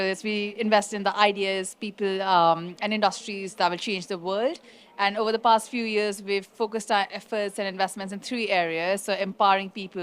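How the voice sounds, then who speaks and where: A woman introducing herself as a venture capital judge, brisk and professional. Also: medium pitch, fairly bright tone. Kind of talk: brief self-introduction.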